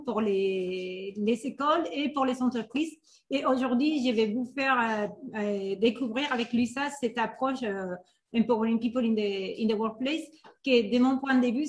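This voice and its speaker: Higher-voiced woman presenting a workshop. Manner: hesitant, many fillers and restarts. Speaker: agile coach and workshop presenter. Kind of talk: live online workshop presentation.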